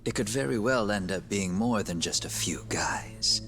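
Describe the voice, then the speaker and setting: A man playing a polite, calculating criminal client in a video game drama, speaking formally and with measured care. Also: on the low side, animated, fairly bright tone. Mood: smooth, calculating. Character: polite, calculating, secretive client hiring gangsters for abductions. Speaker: voice actor in a video game dub. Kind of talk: cinematic crime game acting. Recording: music behind the voice.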